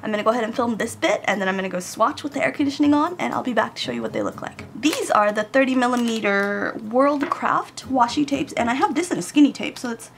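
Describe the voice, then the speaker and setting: A woman's voice: fairly high pitch, big pitch swings, fast, vocal fry, few pauses, slight background noise. A woman getting over a cold, chatting casually to viewers as she unboxes a craft haul at home. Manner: chatty, addresses viewers directly. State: recovering from a cold. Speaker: craft vlogger. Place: home room with air conditioning, in Japan.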